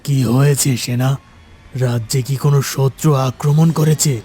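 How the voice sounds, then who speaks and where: A man's voice: medium pitch, steady tone, normal speed, mellow, breathy edge, close to an everyday voice. A man voicing a stern, anxious king in a fairy-tale cartoon, with a commanding, dramatic delivery. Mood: alarmed.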